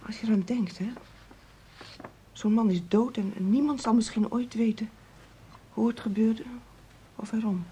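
A woman's voice: on the high side, steady tone, moderate pace, long pauses, warm tone, a little hiss or hum, natural voice. An actress playing a guarded young city woman in a radio play, speaking in a dry, clipped, ironic way. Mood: pensive, guarded. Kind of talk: radio drama acting.

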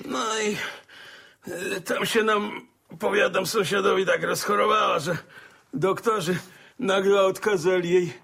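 An actor playing a folksy, rambling older country squire in a radio drama, stammering through verbal tics with a gravelly, husky voice. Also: male voice, high voice, a bit bright, heavily acted. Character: chatty, folksy older country squire, proud father and husband.

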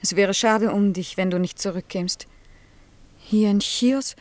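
A woman's voice: medium pitch, unhurried, a little mellow, no room sound, lightly acted. Radio drama: actress as defiant, educated village girl, earnest, mid-range, dry studio sound. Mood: anxious. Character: educated, restless, defiant young village woman. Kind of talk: German radio drama acting.

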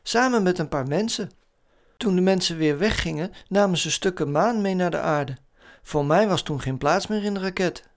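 A man's voice: sits high, animated, moderate pace, voice pushed up. A man voicing a small, timid and friendly little moon buggy in a children's story. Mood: a little sad. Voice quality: trembling when frightened.

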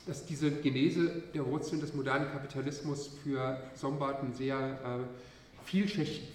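A man's voice: a little high, slight background noise. A male university lecturer with a cold gives an academic lecture, hesitant and full of filler sounds. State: has a cold. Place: lecture hall. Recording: echoey lecture hall from far off.

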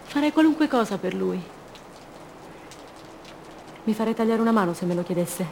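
An actress playing an impulsive, fragile woman madly in love, in an intense, poetic radio theatre monologue full of repeated phrases that swings between rapture and hush. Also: mid-range voice, lively intonation, moderate pace, creaky, a little hiss or hum. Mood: passionate, defiant.

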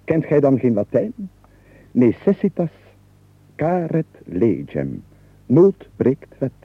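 An actor playing a rich, haughty yet amused nobleman in a comic radio play, speaking formally and emphatically with a husky voice. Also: medium pitch, wildly swooping pitch, slow pace, dry recording, full character voice. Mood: amused, exasperated. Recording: muffled narrow-band 1980s radio recording.

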